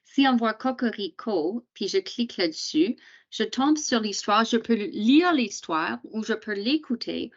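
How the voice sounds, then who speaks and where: A woman's voice: a little high, animated, a little slow, lo-fi sound. A woman whose first language is English, presenting a screen-shared webinar for teachers in a chatty way with many fillers and questions to attendees.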